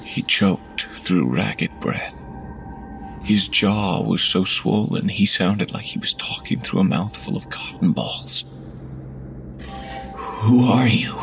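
A man reading a horror story aloud in a measured, hushed delivery that drops to whispers, with faint music behind. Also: lowish voice, vocal fry. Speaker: narrator. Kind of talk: scripted horror narration.

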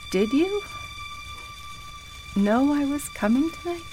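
Woman acting as a higher-voiced, slow-talking, wonderstruck customer. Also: sing-song, music in the background, full character voice. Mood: hesitant, curious. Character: wonderstruck, nostalgic woman customer in a magic shop. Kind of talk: radio play acting.

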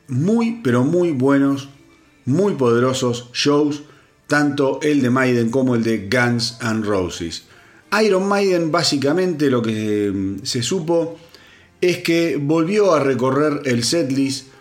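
A man hosting a rock news podcast, congested and hoarse, talking casually with lots of fillers and restarts. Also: medium pitch. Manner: chatty, with many fillers and restarts. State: congested, sore throat. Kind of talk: solo news commentary.

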